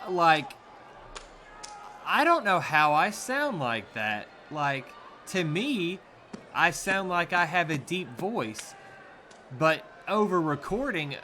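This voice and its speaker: Bright-voiced Southern man commentating sumo. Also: fairly high pitch, sing-song, slow, a little hiss or hum. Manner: laid-back, laughs easily, rambling. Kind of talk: casual live commentary.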